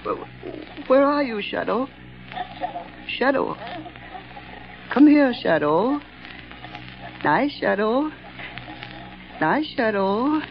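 A deranged night watchman in a vintage radio thriller. His voice sits very high and leaps about excitedly, stuttering and giggling as he repeats himself. The recording is muffled and old. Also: extremely slow, vocal fry, pauses often, full character voice. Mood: panicked, tearful. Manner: stammering, repetitive, giggling, excitable. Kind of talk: 1940s radio drama acting.

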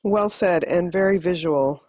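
A woman's voice: fairly low pitch, measured pace, a little mellow, no room sound. A woman hosting an interview, asking questions and giving short affirming replies. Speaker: host.

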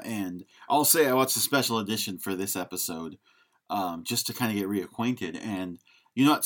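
A man's voice: lowish voice, fairly bright tone. A man co-hosting a podcast, giving casual film critique in a frank, opinionated way. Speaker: podcast co-host.

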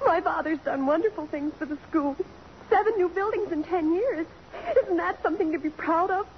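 A woman's voice: very high voice, normal speed. An actress playing an emotional, idealistic young college girl, heartfelt and breathless, in 1950s radio drama style. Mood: anxious. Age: young adult. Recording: dull, faintly noisy old radio recording.

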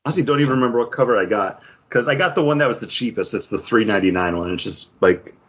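Husky, animated male podcast co-host. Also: mid-range voice, fast talker, dull audio. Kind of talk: casual chat about comics and TV.

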